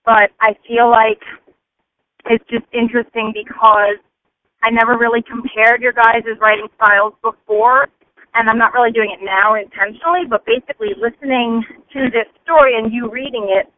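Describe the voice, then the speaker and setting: A woman's voice: on the high side, fairly even intonation, moderate pace, no room sound. A woman leaving casual, rambling listener feedback as a voicemail over the phone. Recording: tinny, band-limited phone voicemail.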